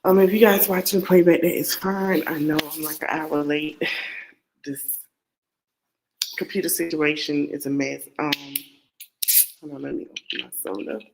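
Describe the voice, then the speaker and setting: A woman's voice: low voice, fairly even intonation, moderate pace, gravelly, pauses often, a little mellow, a little hiss or hum, rough recording. A woman hosting a casual true-crime podcast, nasal and congested from a cold. She rambles, repeats herself and talks straight to her viewers. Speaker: podcast host.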